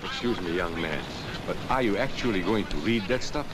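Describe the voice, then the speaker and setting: An actor playing a former professor who explains things in a measured, earnest way, in 1940s film style. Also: male voice, low voice, lively intonation. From Hungary. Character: calm older male immigrant professor, reasoned. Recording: hissy 1940s film soundtrack.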